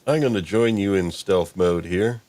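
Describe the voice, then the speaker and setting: Low-pitched man hosting, playful and rambling. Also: normal speed, darkish tone, no echo. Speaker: show host. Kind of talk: casual improvised livestream chat.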